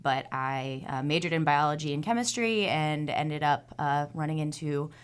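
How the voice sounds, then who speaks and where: A woman in her late twenties, a high school chemistry teacher, talking about her job in an interview. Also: lively intonation, smooth. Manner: friendly, a bit quick, some fillers. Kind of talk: studio interview.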